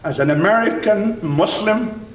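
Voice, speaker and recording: A man with a high voice and an Arabic accent delivers an inspirational religious address. He is emphatic and deliberate, repeating phrases for weight. The sound is roomy and picked up from a distance. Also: lively intonation. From Middle East, Palestinian Jordanian. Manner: emphatic, deliberate oratory with repetition and pauses. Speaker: imam and university teacher speaking at a dinner. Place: large dinner banquet room. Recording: roomy, distant mic, faint rumbling noise.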